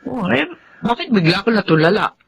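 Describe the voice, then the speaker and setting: An actress playing a doting middle-aged wife in a Filipino radio drama. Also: female voice, medium pitch, very animated, brisk, creaky. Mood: concerned, chiding. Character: doting, well-off middle-aged wife, mother of a grown daughter. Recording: dull, noisy radio drama recording.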